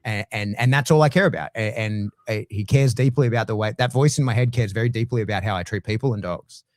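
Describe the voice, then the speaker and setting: A middle-aged Australian man talking casually as a guest, blunt and swearing freely, with lively swings in his voice. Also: on the low side, fast, dry recording, clean studio sound. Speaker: guest dog trainer. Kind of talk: casual conversation.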